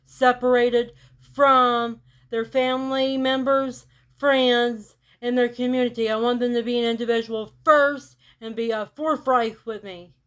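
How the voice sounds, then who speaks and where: A woman with a rural American country accent talking to camera in a blunt monologue, keeping her delivery flat and robot-like on purpose. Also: on the high side, smooth. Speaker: vlogger. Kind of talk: casual direct-to-camera monologue.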